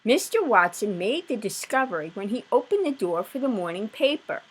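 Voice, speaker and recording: A woman reading a children's story aloud with expressive, deliberate narration. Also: fairly high pitch, breathy edge, poor audio. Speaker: storybook reader.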